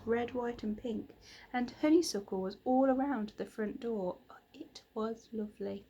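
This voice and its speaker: A woman reading a children's story aloud in an expressive storytelling manner. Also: a little high, slightly rough. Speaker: reader of children's stories. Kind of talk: expressive scripted storybook narration.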